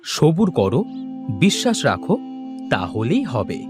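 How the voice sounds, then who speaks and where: An actor playing a patient, generous village farmer in a cartoon story, with an earnest, expressive delivery. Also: male voice, mid-range voice, takes it slowly, soft background music. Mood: reassuring, eager.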